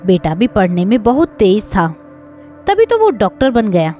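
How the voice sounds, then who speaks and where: Female storyteller playing suspicious old gossip, questioning manner, animated swooping pitch, audio story, faint music. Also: moderate pace, dull audio. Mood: gossipy, curious. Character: gossiping suspicious elderly residents of an old-age home.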